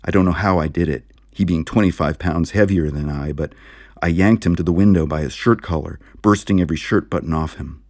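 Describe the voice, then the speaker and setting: Low-voiced guy reading a story like a dramatic audiobook, really dry close sound. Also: a little fast, darkish tone, dry recording. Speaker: audiobook reader. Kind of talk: scripted dramatic story narration.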